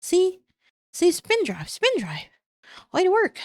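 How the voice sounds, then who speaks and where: A woman voicing an excitable middle-aged male Russian scientist in a put-on Russian accent, speaking broken English and eagerly repeating words. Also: very high voice, slow, no echo, exaggerated voice. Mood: excited. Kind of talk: casual read-aloud voice acting.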